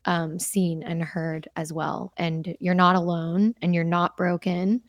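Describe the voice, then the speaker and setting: Young adult woman, podcast guest; casual and earnest, laughs easily, slightly low voice, dead-dry room. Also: little pitch movement, slow, no room sound, lo-fi sound. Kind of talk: casual interview chat.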